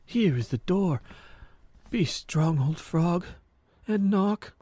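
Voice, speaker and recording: A man's voice: high voice, animated, slow pace, mellow, exaggerated voice. A man voicing a timid, woeful old frog poet in a comic radio drama, theatrical and easily flustered into stammering.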